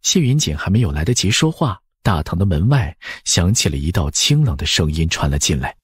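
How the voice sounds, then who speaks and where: Very husky male audiobook narrator. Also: sits low, big pitch swings, fairly quick, no room sound, clean studio sound. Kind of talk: scripted dramatic novel narration.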